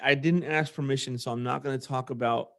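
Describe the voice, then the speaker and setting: A man's voice: mid-range voice, fairly even intonation, brisk, vocal fry, fairly bright tone. A man with an American accent hosting a podcast, telling personal stories in a casual, earnest way. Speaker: podcast host; former army platoon leader.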